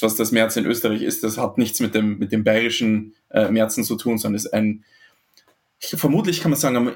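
A man's voice: lowish voice, gravelly. A man from Austria, in his thirties, speaking as a podcast guest, hesitant with many fillers and restarts. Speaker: interview guest. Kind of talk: podcast interview.

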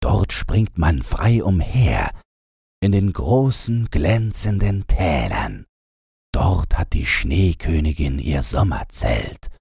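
Man doing a slow, dreamy reindeer. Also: sits low, sing-song, vocal fry, a little mellow, husky, dry recording, clean studio sound, heavily acted. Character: gentle homesick male reindeer.

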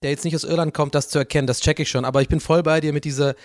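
A young man from Ireland, hosting a casual film podcast and talking in an animated, ranting way with quick asides. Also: a little high, no room sound, clean studio sound. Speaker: podcast host.

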